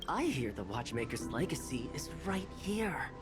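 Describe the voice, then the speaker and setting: An actor voices a chummy, scheming treasure hunter for a video game. The voice sits at a middling pitch and has an inviting manner. Very loud music plays behind it. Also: sing-song, normal speed, close to an everyday voice. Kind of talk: video game character dialogue.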